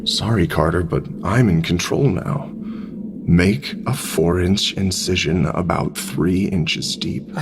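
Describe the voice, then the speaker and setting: An actor playing a sinister, manipulative talking pig in an audio drama, coaxing and commanding in a deliberate, gravelly voice. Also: male voice, deep voice, background noise, exaggerated voice. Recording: music under the voice.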